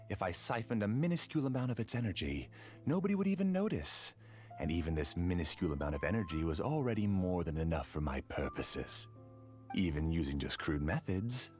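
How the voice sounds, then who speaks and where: A man voicing an obsessive, calculating researcher in a game cutscene, courteous, measured and quietly intense. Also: low-pitched.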